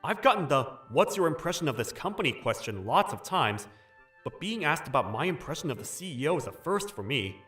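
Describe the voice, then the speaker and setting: A man acting an earnest, put-upon young office worker who narrates and voices his troubles in a manga dub, with expressive delivery. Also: fairly high pitch, fairly quick, faint music behind. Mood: puzzled.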